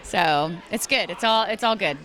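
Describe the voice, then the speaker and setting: A woman voice actress and singer chatting in a convention interview, bubbly and quick to laugh. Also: medium pitch, a bit bright.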